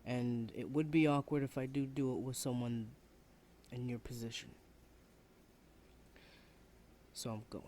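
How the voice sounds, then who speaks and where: A man acts out a young male university student, rude and defensive on the surface. He speaks at a middling pitch in a sulky, halting delivery, close and intimate as in an ASMR audio drama. Faint ambient noise sits behind him. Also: steady tone, a little mellow, lightly acted. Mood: resigned, sulky. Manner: sulky, halting, breathy sighs, swearing.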